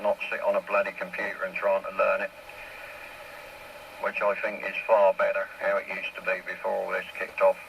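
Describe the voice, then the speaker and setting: A man's voice: lowish voice, vocal fry, highs cut off. A man chatting casually over a two-way radio, explaining things step by step in a plain-spoken way. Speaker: amateur radio operator. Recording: noisy two-way CB radio transmission.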